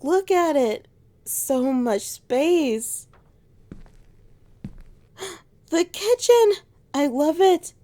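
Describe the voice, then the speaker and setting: High-voiced tsundere she-wolf, acted by a woman. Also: lively intonation, drawn-out words, long pauses, warm tone, full character voice. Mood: excited, admiring. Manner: teasing, flustered stammering. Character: tsundere alpha she-wolf on honeymoon, teasing, scared of heights. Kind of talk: romantic ASMR roleplay acting.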